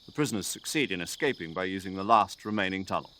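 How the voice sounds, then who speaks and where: A man voicing an older military commander in a video game, precise and drily good-humoured, articulate and measured as he briefs an agent. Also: deep voice, lively intonation, pushed and bright. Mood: wry, chatty. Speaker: mission commander giving a radio briefing.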